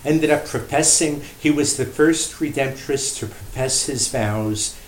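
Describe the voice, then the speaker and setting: A man, a priest interviewed as a guest, telling history in an unscripted, measured and emphatic way, with pauses for effect. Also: medium pitch. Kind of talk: unscripted storytelling in a radio interview. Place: indoor room at a shrine. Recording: roomy echo, faint background noise.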